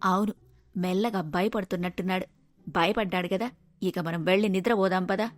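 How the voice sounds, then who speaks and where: A woman's voice: on the low side, steady tone, fairly quick, no echo, close to an everyday voice. A woman playing a vain, touchy middle-aged mother-in-law in a cartoon moral story, querulous and full of questions. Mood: puzzled, conspiratorial.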